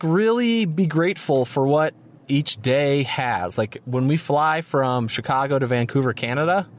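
A man in his thirties hosting his own podcast, talking casually and rambling with lots of fillers and self-deprecating jokes, tired from little sleep. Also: medium pitch. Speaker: podcast host. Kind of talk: casual solo podcast monologue.

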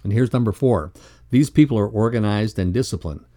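Mellow, low-voiced man reading a lesson. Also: fairly quick. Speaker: co-presenter reading a leadership lesson. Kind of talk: scripted read-aloud, motivational podcast.

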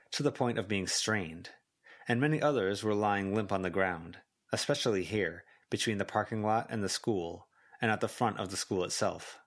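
A man reading scripted fiction as a volunteer audiobook narrator. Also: on the low side.

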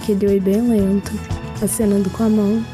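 A woman playing in a tabletop game, describing her character's actions in relaxed, deliberate talk. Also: medium pitch, over music. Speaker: player.

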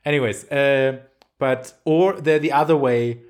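Guy rambling about movies on a podcast, mid pitch, says like a lot, teases his co-host. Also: steady tone, unhurried. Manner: rambling, many "like" fillers, teasing. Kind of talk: casual chat about films.

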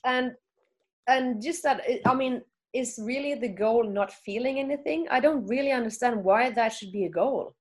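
Woman, fairly high pitch, casual discussion, questioning, opinionated, thinking aloud. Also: normal speed.

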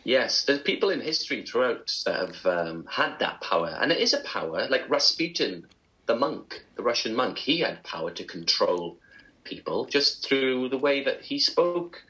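A man's voice: medium pitch, big pitch swings, normal speed, bright, forceful tone, low-quality recording. A man co-hosting a podcast, chatty and agreeable, recounting documentaries he has seen. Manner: chatty, agreeable, laughs while telling stories. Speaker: co-host. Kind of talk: casual podcast chat.

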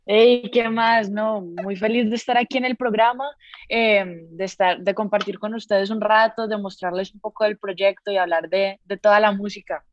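A young Colombian woman musician, a guest in an interview, who talks in a relaxed and upbeat way, filling her speech with "pues". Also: mid-range voice, normal speed, no echo, muffled recording. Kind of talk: casual interview.

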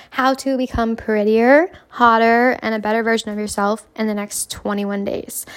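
Hoarse, brash young woman podcaster. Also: on the high side. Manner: brash, self-assured, swears freely. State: hoarse from a cold. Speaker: podcast host. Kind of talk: casual self-help podcast monologue.

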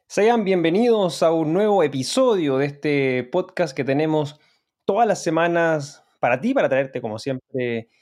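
A man hosts a podcast in an upbeat, emphatic manner, welcoming his guest and leading the conversation. His voice sits a little high for a man. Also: expressive, a little slow, a little mellow. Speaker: podcast host. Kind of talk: read sponsor ad and podcast hosting.

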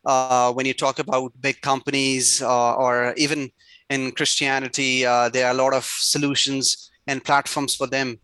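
A man answering interview questions with a bright, forward tone. His pitch stays level and even, and he pauses often with "uh" fillers. The sound is dry and close. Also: clear, resonant tone, dry recording, low-quality recording. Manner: hesitant, many "uh" fillers. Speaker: startup CEO, guest.

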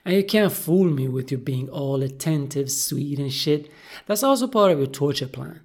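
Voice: mid-range voice, animated, normal speed, darkish tone, husky. A storyteller voicing a touchy, chatty little female pet goldfish in an emphatic, dramatic manner. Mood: accusing.

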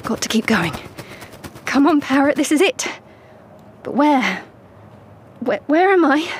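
Anxious high-voiced parrot on the run. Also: female voice, big pitch swings, unhurried. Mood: anxious, determined. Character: anxious, determined young parrot escaping captivity. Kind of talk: audio drama acting. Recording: music behind the voice.

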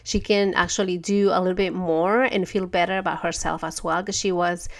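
Stuffy low-voiced woman with vocal fry. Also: fairly even intonation, fairly quick. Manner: earnest, gentle, restarts often. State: stuffy nose from a cold. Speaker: podcast host. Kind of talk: casual monologue.

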